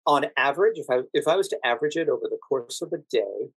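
An American man speaks as an interview guest in a close, dry sound with little room echo. He explains things thoughtfully and in a measured manner, at a middling pitch. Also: expressive, slightly rough. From United States. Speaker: podcast guest, actor working as a standardized patient.

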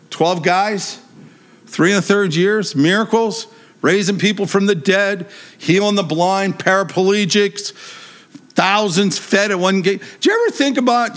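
A man preaching a sermon in a lively, conversational way, with humor and a big voice. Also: high voice.

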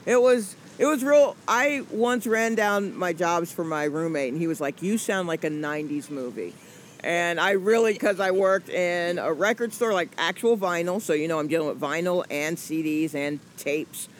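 A woman's voice: lowish voice, normal speed, a little hiss or hum. A woman in her forties from the American South, a comedian chatting in an interview, rambling and joking with lots of fillers. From Atlanta, US South. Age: 40s. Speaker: comedian guest. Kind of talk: casual interview chat.

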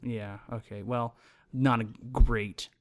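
A man's voice: medium pitch, fairly even intonation, slow pace. A man co-hosting a podcast, teasing his partner in dry, casual banter. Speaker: podcast co-host. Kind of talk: casual chat.

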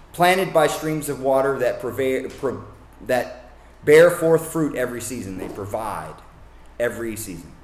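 A man's voice: medium pitch, reverberant, rough recording. A man from Kentucky preaching a sermon in a casual, conversational way, with asides and self-corrections.

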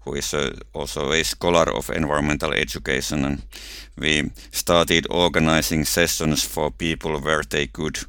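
Finnish man with a bright, crisp, very deep voice. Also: level tone, moderate pace, hardly any pauses, dry recording, studio quality. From Finland. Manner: careful, explanatory, with frequent small restarts. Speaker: researcher and guest. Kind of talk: thoughtful podcast conversation.

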